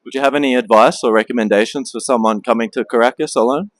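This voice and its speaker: A man working as a street interviewer, voice sitting mid-pitch and sounding dry and close. He asks short questions and repeats them, with the odd "uh" as he goes. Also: fast, no echo. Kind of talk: street vox-pop interview.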